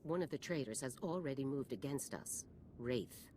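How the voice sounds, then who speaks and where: A woman's voice: very deep voice, lively intonation, normal speed, a little mellow, a little hiss or hum. An actress playing a stern female military general in a video game, speaking in a formal, authoritative, clipped manner. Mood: grave. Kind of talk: video game character acting.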